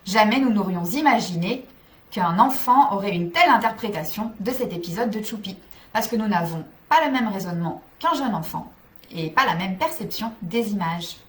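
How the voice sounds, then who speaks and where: A woman's voice: mid-range voice, expressive, normal speed. A woman psychologist giving scripted, structured advice to parents in a didactic way, addressing listeners directly.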